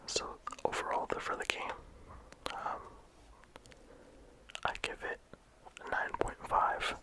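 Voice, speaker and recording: A game reviewer talking casually in a soft ASMR whisper, with frequent "like" and "um" fillers. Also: slow. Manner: soft unvoiced whisper throughout.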